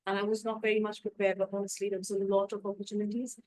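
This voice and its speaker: A woman's voice: mid-range voice, level tone, racing, darkish tone, no room sound, low-quality recording. A woman from India speaking on a student panel, talking in a chatty way and often adding on to what others said. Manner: chatty, adds on to others with fillers like "definitely" and "you know". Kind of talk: casual panel discussion.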